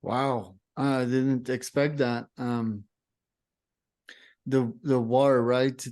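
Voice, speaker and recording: A man's voice: mid-range voice, level tone, very slow, darkish tone. A man hosting a podcast interview, talking in a loose, rambling way with fillers. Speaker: podcast host. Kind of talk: casual interview talk.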